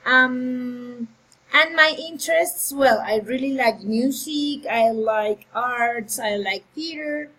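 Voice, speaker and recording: High-voiced breathy teacher on a video call. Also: female voice, takes it slowly, fairly bright tone, poor audio. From Colombia. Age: 30s. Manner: friendly, encouraging, switches between Spanish and English. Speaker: English teacher. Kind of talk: online English lesson.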